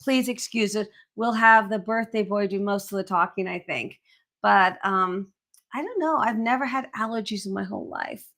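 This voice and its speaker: A woman's voice: mid-range voice, moderate pace, a bit bright. A middle-aged woman co-hosting a live shopping stream in casual chat, her voice froggy and cracking from allergies. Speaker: co-host of live shopping show.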